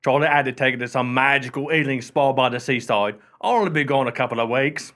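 An actor playing an irreverent working-class husband turned caretaker in a radio play, with a wry, breezy, folksy manner. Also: male voice, medium pitch, fast, fairly bright tone, lightly acted.

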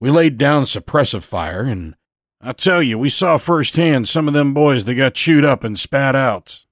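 A man voicing a genial, boastful Navy veteran in his eighties for an audio storybook, folksy and orating, with drawn-out emphatic phrases. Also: mid-range voice, animated, no room sound, studio quality, voice pushed up, close to an everyday voice. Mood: proud, reminiscing. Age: 80s.

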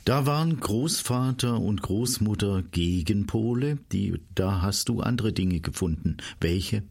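Male radio host for studio interview; lowish voice with a clear fry. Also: a little slow, darkish tone.

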